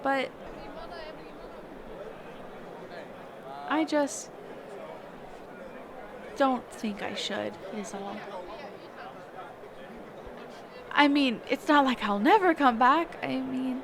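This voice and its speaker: A woman's voice: high voice, slow pace, long pauses, crowd noise. A woman playing a kind, reclusive magic teacher in an intimate roleplay audio, speaking hesitantly, flustered and trailing off. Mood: shy, fond. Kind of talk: intimate character roleplay audio, one-sided conversation.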